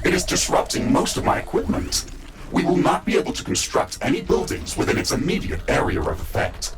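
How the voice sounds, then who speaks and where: A dutiful machine intelligence from a sci-fi game, synthetic and gravelly. It delivers formal, procedural reports in clipped phrases that jump up and down in pitch. Music plays clearly behind it. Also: male voice, wildly swooping pitch, a little fast, heavily acted. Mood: composed, procedural. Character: male AI of a mech suit, composed, dutiful advisor. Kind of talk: video game voice acting, status reports.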